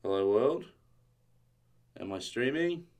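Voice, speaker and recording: A man streaming a casual coding tutorial, thinking aloud and reading documentation, his voice a little strained from yelling. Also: medium pitch, expressive, takes it slowly.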